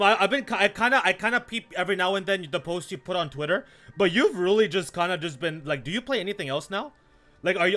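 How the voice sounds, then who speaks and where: High-pitched, bright-voiced man live streaming. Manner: slangy, repeats himself, greets viewers mid-thought. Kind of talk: casual live stream chat and game commentary.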